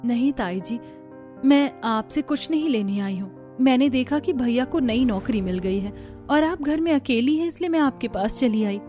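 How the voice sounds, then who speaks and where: A woman voicing a gentle, dutiful young sister in a cartoon story, earnest, polite and apologetic. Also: fairly high pitch, animated, moderate pace, faint music behind, close to an everyday voice. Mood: pleading. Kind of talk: Hindi cartoon story acting.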